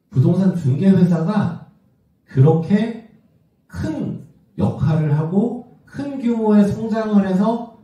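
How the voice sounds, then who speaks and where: A man of about fifty from South Korea giving a business presentation in a conversational way, often putting questions to his listeners. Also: fairly high pitch. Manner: conversational, asks the audience rhetorical questions. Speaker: company executive presenting. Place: large indoor event room with audience. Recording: muffled, echoey hall, distant from mic.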